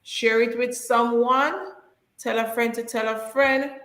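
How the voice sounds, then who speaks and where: A woman hosting a teaching live stream, reading viewer questions and giving brisk, emphatic, encouraging advice. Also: on the high side, steady tone, a bit bright, reverberant.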